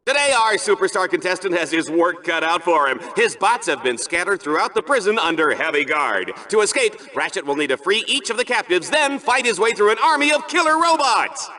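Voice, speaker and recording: A slick video game announcer with a high, forceful voice. He is goofy and over-excited, swooping theatrically through his hyped sports commentary like a showman. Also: brisk, few pauses, full character voice. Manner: showy, theatrical, hammy.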